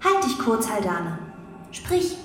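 A woman playing a formal, aloof artificial intelligence in a radio play, speaking in measured announcements. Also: a little high, very animated, a bit bright, exaggerated voice. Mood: cool, curt. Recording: very echoey reverberant hall, faint noise.

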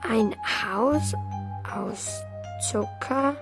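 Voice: mid-range voice, extremely slow. A performer playing a curious, slightly shy schoolboy in a children's radio play, speaking hesitantly and colloquially. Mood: halting reading. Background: music.

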